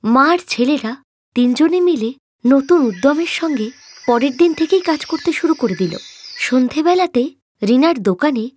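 High-voiced woman storyteller. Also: expressive, moderate pace, a little hiss or hum. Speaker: narrator. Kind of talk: scripted storytelling narration for animated moral tale.

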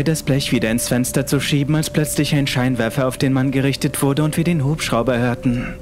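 A man's voice: mid-range voice, noisy background. A man reading a scripted horror story as the narrator of an audiobook. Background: music.

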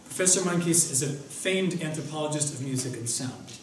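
A man's voice: a little high, a little hiss or hum. A young man at a lectern, reading a formal introduction of a guest speaker in a lecture room. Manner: measured, reads from notes at a lectern. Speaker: event host introducing a speaker. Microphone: lectern mic, filmed by far camera. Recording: echoey room from far off.

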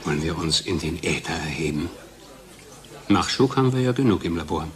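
An actor playing a gleeful, cynical drug developer in a radio play, with an ironic, declamatory manner. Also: male voice, low voice, lively intonation, fairly quick. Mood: gleeful cynicism.

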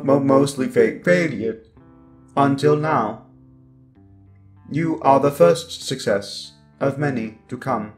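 Man voicing a slow, glitching evil robot overlord. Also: medium pitch, close to an everyday voice. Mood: cold, grandiose. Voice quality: glitching, stuttering speech. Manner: cold, grandiose, deliberate. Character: sinister genocidal robot overlord with damaged speech module. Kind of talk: ASMR roleplay monologue.

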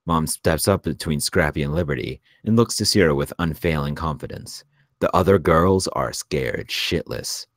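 Very deep-voiced male script narrator. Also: moderate pace, dry recording, studio quality. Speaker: narrator reading stage directions. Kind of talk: scripted narration at a screenplay table read.

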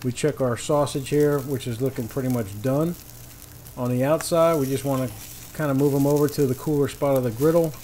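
Man, mid-pitched voice, cooking host, easygoing informal instruction, outdoor patio, clear frying noise behind. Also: fairly even intonation, fairly quick, dark tone. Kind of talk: casual cooking demonstration. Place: outdoor paved patio beside a griddle. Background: frying and sizzling food.

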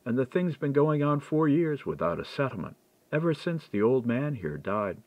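A shrewd, candid clerk played by a male reader explains things in storybook dialogue. The voice is mid-pitched with lively emphasis, recorded dry and a little dull. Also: normal speed, no echo, natural voice. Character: lapsed monk turned clerk, candid, shrewd man. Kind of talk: audiobook character dialogue.

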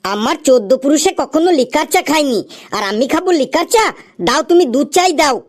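A high-voiced, melodramatic cartoon customer, played by a woman. He is a boastful man who whines and protests with indignant exasperation. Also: expressive, fairly quick. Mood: boastful, cajoling. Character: boastful, exasperated male tea-stall customer cadging credit. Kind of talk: exaggerated comic cartoon dialogue.

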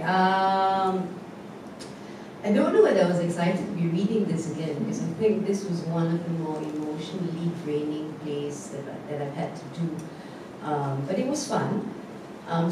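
A woman actor talking reflectively on a post-show panel, laughing now and then. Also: lowish voice. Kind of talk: casual panel chat. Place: indoor theatre stage. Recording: echoey theatre, distant mic, noisy.

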